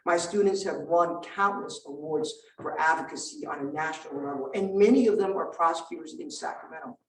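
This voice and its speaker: Middle-aged man born in Vietnam, native Vietnamese speaker, high voice, emphatic campaign delivery, distant mic in a roomy hall. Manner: emphatic, rhetorical lists with measured pauses. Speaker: political candidate at a forum. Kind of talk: campaign speech and forum answer.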